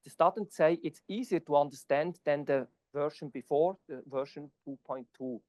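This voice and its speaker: A man's voice: a little high, darkish tone, no room sound. Swiss-accented man giving an academic talk. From Switzerland. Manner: non-native English, halting with fillers. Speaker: conference presenter. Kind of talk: academic presentation and Q&A. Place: conference hall.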